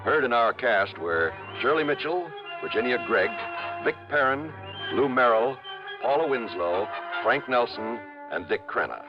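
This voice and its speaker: A man's voice: medium pitch, lively intonation, measured pace. A man announcing formally in the style of a 1950s radio show. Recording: dull vintage radio recording. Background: prominent music.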